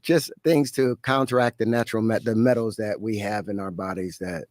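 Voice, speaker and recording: A man in his fifties, a podcast guest, talking in a relaxed, unhurried way and laughing easily. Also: fairly low pitch, no echo, studio quality. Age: 50s. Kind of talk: casual podcast conversation.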